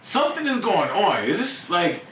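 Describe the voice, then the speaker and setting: A man's voice: sits high, moderate pace. A young man telling stories in a casual podcast chat, acting out what people say and repeating his words. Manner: animated storyteller, acts out quotes, repeats words. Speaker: podcast co-host. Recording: roomy, distant mic, faint noise.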